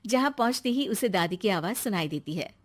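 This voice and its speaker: A woman's voice: medium pitch, lively intonation, fairly quick. A woman narrating a scripted moral family story.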